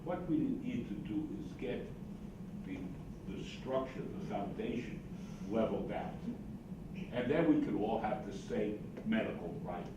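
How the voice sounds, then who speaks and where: A man's voice: fairly low pitch, lively intonation, measured pace. An older man arguing a point firmly in a panel discussion, recorded from afar in an echoey room. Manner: firm, argumentative. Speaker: roundtable participant. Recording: distant echoey room mic, loud noise.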